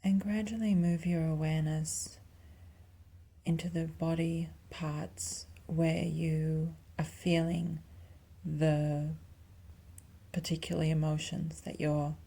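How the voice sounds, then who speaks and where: A woman leading a guided meditation softly and calmly, with long pauses and a breathy, near-whispered delivery. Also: low-pitched. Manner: soft, calm, unhurried instruction with long pauses. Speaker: clinical psychologist guiding a meditation.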